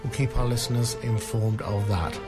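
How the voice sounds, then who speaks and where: A man's voice: mid-range voice, steady tone, normal speed, over loud music. A man hosting a radio show in casual conversation. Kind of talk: casual interview chat.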